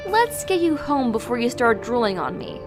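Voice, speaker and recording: A woman's voice: a little high, very animated, moderate pace, music in the background. A woman playing a pragmatic, teasing teenage girl sidekick in an amateur superhero audio drama. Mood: brisk, teasing.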